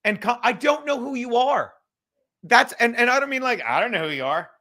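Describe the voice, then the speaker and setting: A man's voice: very high voice, lively intonation, brisk, bright, forceful tone. A man hosting a casual, profane livestream chat, rambling in a self-deprecating way and swearing freely. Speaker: livestream host.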